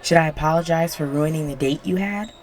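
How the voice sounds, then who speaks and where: A young woman voicing a bratty, bossy popular high school girl in an intimate audio roleplay, whiny and exasperated, with desperation underneath. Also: brisk, a little hiss or hum, close to an everyday voice. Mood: exasperated, impatient. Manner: whiny, sighing, pushy.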